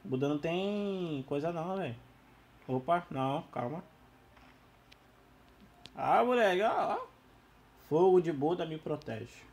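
A Brazilian man who is losing his voice, giving casual, slangy, excitable gameplay commentary. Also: on the high side, a little slow, long pauses, slightly rough. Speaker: gaming YouTuber.

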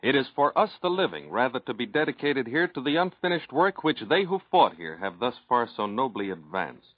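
A man's voice: mid-range voice, sing-song, brisk, dry recording. An American man, a 1940s radio announcer, giving a solemn, oratorical scripted reading. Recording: dull lo-fi vintage radio recording.

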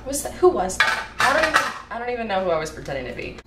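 A woman's voice: fairly high pitch, big pitch swings, rapid-fire. Goofy young woman in an echoey kitchen. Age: young adult. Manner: silly, self-mocking, loud outbursts. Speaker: vlogger. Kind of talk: goofy cooking vlog. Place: home kitchen at night. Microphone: camera across the kitchen. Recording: echoey kitchen, audible noise.

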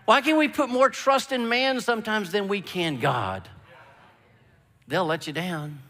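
A man's voice: high voice, expressive, a little slow, sharp tone. A man in his fifties preaching a sermon from a large church stage, emphatic and calling out "come on" and "amen", mostly on a headset mic. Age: 50s. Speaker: pastor. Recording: long reverb of a large hall.

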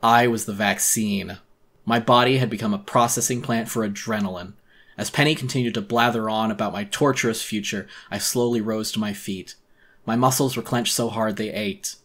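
Male narrator for dramatic horror reading. Intense, deliberate, slightly deep, with a tense forceful edge. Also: fairly even intonation. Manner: intense, theatrical, deliberate storytelling. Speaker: audiobook narrator.

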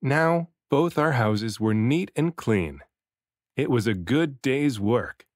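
A man narrates a picture book for young children with expressive emphasis. His medium-pitched voice rises and falls in a lively, animated way. The sound is dry and close, with very little room. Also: slow pace, dry recording. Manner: expressive, varies delivery for emphasis. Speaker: children's book reader, dad and voice actor. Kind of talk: read-aloud storybook narration.

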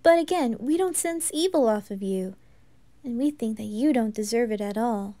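A woman voicing a kind-hearted, fearless young boy in an anime fan roleplay, speaking softly and earnestly. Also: a little high, animated, moderate pace, natural voice. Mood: sincere. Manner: soft, reassuring, earnest.

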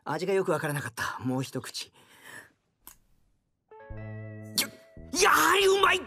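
Pompous young hero, swooping voice. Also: male voice, high voice, huge pitch swings, slow, bright, forceful tone, soft background music, full character voice. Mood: flustered, amazed. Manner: pompous, blustering, rough-spoken. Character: arrogant, grudging young male hero and self-styled gourmet. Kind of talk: anime audio drama acting.

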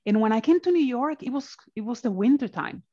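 A woman's voice: on the high side, fairly quick. A woman in her forties from the Dominican Republic, telling her own story openly as an interview guest over a video call from a home office. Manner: open, animated, laughs while talking. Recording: dull lo-fi video call audio.

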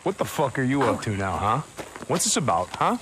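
An actor playing a tough, surly loner biker in a video game cutscene, gruff and confrontational. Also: male voice, medium pitch, big pitch swings, fairly quick, a bit bright, slight background noise. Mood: hostile.

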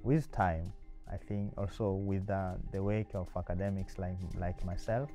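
A man in his thirties from Tanzania, a university lecturer speaking in a seated interview, thoughtful with frequent pauses and fillers. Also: low-pitched, fairly even intonation, dark tone, faint music behind. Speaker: university lecturer and PhD candidate. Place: small indoor room.

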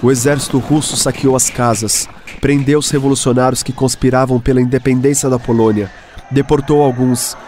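A man with a Brazilian accent giving measured, scripted narration for a radio drama. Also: mid-range voice, fairly even intonation, a little mellow, a little hiss or hum. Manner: measured storytelling. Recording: music clearly behind voice.

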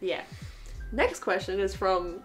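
A woman's voice: medium pitch, animated, slow pace. A twenty-year-old woman hosting a chatty podcast, voice sitting mid-range. She rambles through her answers and giggles often, with faint music underneath. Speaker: podcast host. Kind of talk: casual chat.

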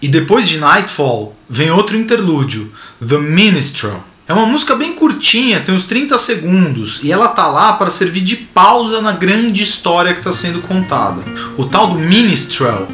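Man, forties, Brazilian accent, lively swooping intonation, slightly high voice, casual host commentary, music behind. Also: normal speed, few pauses. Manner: animated, colloquial, rhetorical asides. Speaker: video host. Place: small indoor home room with bookshelves. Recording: roomy echo, music behind.

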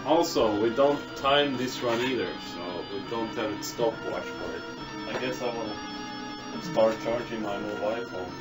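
A man's voice: mid-range voice, unhurried. A man hosting a live game marathon, easygoing and matter-of-fact. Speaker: marathon host. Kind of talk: casual live commentary. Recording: distant mic, loud game music.

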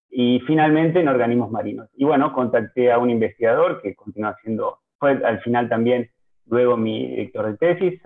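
Argentine male panelist, mid-pitched, halting delivery, heard through a tinny phone-quality line. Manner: hesitant, many restarts and fillers. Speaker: panel speaker, geneticist. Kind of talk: video-call panel talk. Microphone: video call.

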